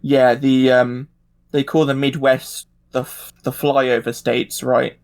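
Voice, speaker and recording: A man in a casual political discussion, talking in a halting way as he thinks aloud. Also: medium pitch, flat delivery.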